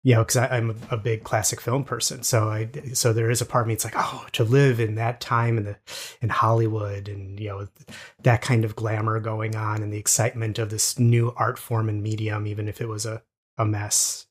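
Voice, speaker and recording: A man hosting a casual podcast interview, speaking enthusiastically in a halting way and often repeating his words as he goes. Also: fairly low pitch, flat delivery, slightly rough.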